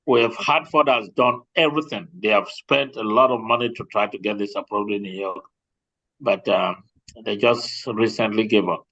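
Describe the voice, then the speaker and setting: A man's voice: lowish voice, normal speed, sharp tone. A man speaking as an insurance representative on a group call, explaining informally and often repeating himself as he goes. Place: remote online group call. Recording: dull, lo-fi call audio.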